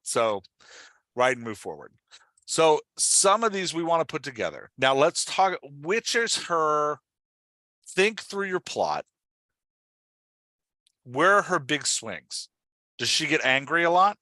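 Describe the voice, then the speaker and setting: A man's voice: a little high, very animated, slow pace, pauses often, pushed and bright, dry recording. A man hosting and coaching in a conversational way, explaining things emphatically and asking leading questions. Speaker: podcast host and writing coach.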